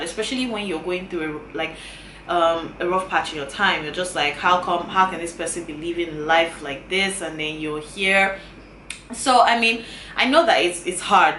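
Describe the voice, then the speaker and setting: A woman's voice: lowish voice, a little hiss or hum. A woman in her twenties talking casually to camera, giving earnest advice and often repeating her phrases. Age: 20s. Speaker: YouTuber. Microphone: camera mic. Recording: bright, roomy sound with faint ambience.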